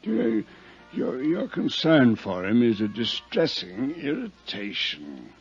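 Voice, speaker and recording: A man's voice: mid-range voice, wildly swooping pitch, slow, faint music behind, full character voice. An actor playing a drunk, world-weary old man with formal, florid phrasing in a 1950s radio drama. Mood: melancholy, bitter. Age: older. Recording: muffled 1950s radio recording.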